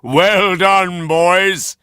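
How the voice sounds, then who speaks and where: A man's voice: sits high, fairly even intonation, very slow, bright, forceful tone, clean tone, dry recording, studio quality, pitched above the performer's own voice, full character voice. A man voicing a wicked coachman in a storybook, gleefully gloating with mocking praise. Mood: gloating glee. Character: gloating, wicked coachman who trades in donkeys.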